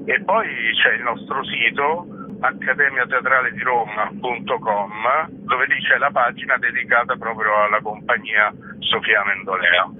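A man's voice: medium pitch, steady tone, normal speed, slight background noise. Male theatre director on a tinny phone line. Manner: friendly, with filler words and restarts. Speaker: guest author and director. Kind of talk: radio interview over the phone. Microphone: telephone.

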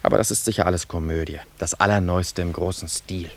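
Pompous young admirer, deep voice. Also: male voice, fairly even intonation, fairly quick. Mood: scornful musing. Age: young adult. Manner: florid, pompous. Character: pompous, earnest young admirer, easily affronted. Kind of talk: German radio play acting, theatrical.

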